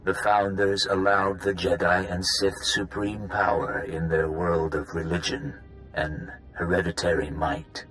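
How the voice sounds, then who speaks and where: An ancient, solemn founder of a shadowy order in a game cutscene. The voice is very low and unhurried, grave and deliberate, with music underneath. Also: male voice, flat delivery, fairly bright tone, poor audio. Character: ancient solemn founder of a secret cabal, hibernating in a machine. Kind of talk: video game cutscene dialogue.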